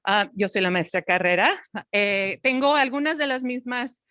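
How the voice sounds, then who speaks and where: A woman, a literacy coordinator, interpreting a colleague's talk into Spanish for parents and explaining it clearly. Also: a little high, expressive, moderate pace, sharp tone, no echo.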